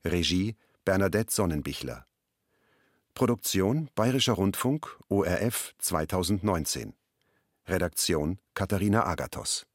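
Deep-voiced, measured male announcer. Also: expressive, dry recording, studio quality. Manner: measured, item-by-item delivery. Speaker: radio drama announcer reading the credits. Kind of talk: formal scripted credits announcement.

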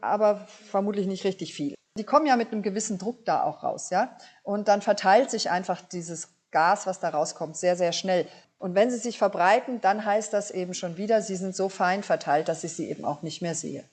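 A woman's voice: medium pitch, brisk, darkish tone. A woman speaking as an expert guest, explaining informally and tentatively, repeating words for emphasis.

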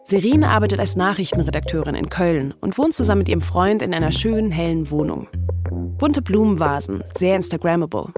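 A woman's voice: lowish voice, big pitch swings, a little fast. A woman podcast host, reading scripted storytelling narration. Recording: music playing behind the voice. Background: music.

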